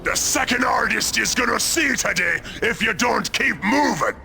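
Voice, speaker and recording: A man voicing a brash ork pirate warboss in a video game, gravelly and bellowing. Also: very high voice, expressive, normal speed, pushed and bright, heavily acted. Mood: boisterous. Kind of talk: comic, brawling video game cutscene acting. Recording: music under the voice.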